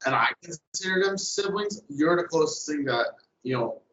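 A young man in casual chat, speaking earnestly and haltingly with frequent "you know". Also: a little high, expressive, fairly bright tone. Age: young adult. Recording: echoey, dull lo-fi sound.

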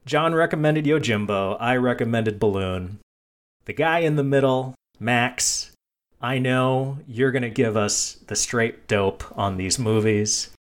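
Male podcast host, mid-pitched voice, casual and teasing, chats about films with joking asides. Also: a little slow. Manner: jokey, teasing. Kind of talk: casual film discussion.

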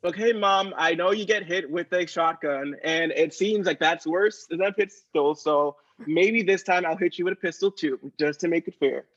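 High-voiced petulant young-sounding male actor played by a man. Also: moderate pace, a bit bright, muffled recording. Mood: casually matter-of-fact. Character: petulant actor son who pistol-whips his parents. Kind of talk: improvised audio comedy.

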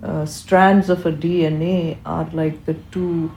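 Older urdu-native woman answering interview questions, unhurried and reflective, kinda mellow, echoey room. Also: low-pitched, little pitch movement, slight background noise. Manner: eloquent, reflective, deliberate phrasing. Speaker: interview guest, physician and researcher.

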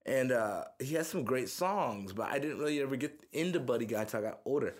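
A man's voice: medium pitch, a little fast. A young man from the Houston area, talking casually and profanely as a musician being interviewed, rambling through stories. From Houston, Texas area. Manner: relaxed, profane, rambling storyteller. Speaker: musician guest.

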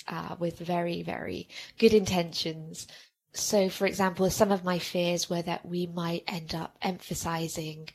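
A woman hosts a podcast, her voice husky and edged with gravelly fry. She speaks in a reflective, measured way, pausing on fillers as she thinks. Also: on the low side, low-quality recording. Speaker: podcast host. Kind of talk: podcast interview.

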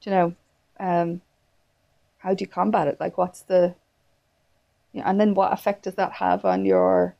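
A woman's voice: fairly low pitch, sing-song, normal speed, pauses often, dull audio. A woman in her thirties with an Irish accent, hosting a candid, casual chat and swearing freely. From Ireland. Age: 30s. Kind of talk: casual conversation.

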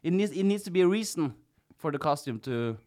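A man, a clown talking as a podcast guest in halting, casual conversation full of restarts. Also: on the high side, animated, a little mellow. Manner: halting, many restarts and fillers, teasing.

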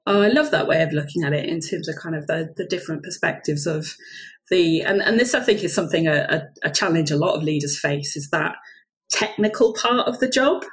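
Woman podcast host, enthusiastic and effusive, lowish voice. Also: expressive, fairly quick. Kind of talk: podcast interview hosting.

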